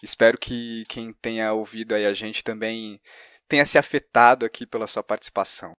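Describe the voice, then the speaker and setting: A man's voice: lowish voice, fairly even intonation, a little fast, a bit bright, smooth, no room sound, studio quality. A Brazilian man with a Brazilian Portuguese accent, hosting a casual podcast interview. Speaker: podcast host.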